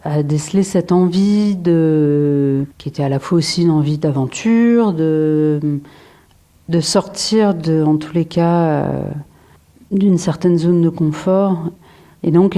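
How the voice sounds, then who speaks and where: A woman in her forties, from France, a photographer answering interview questions in a reflective way, with frequent pauses, fillers and restarts. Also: deep voice, warm tone. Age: 40s. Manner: hesitant, many fillers and restarts.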